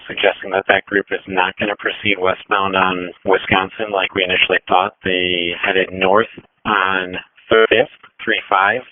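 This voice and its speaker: A man coordinating air operations over a police two-way radio, speaking procedurally with halting pauses. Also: low voice, no room sound. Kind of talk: radio dispatch traffic. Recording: narrowband, dull police radio transmission.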